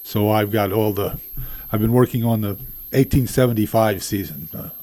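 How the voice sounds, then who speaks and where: An older man guesting on a radio show as a baseball historian, answering in a measured way with frequent ums. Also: on the low side, warm tone, no echo. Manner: measured, many ums and uhs.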